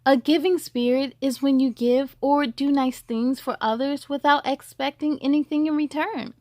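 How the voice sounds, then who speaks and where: High-voiced woman reading stories to children. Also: normal speed, no echo, studio quality. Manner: animated asides addressed to young listeners. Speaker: host of children's read-aloud story time. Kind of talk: expressive picture-book reading for children.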